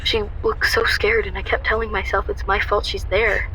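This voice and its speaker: A woman's voice: mid-range voice, flat delivery, moderate pace, bright, forceful tone, a little hiss or hum, natural voice, rough recording. A woman playing an anxious, guarded young shop worker, narrating a radio drama in a wry, confessional way. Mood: wry, uneasy narration.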